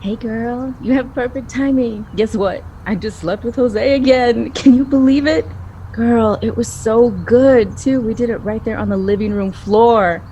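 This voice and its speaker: A woman acting in an audio drama as a bold, flirtatious, gossipy best friend with a sassy, expressive conversational manner. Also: fairly high pitch, a little slow, slight background noise. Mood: giddy, gossipy.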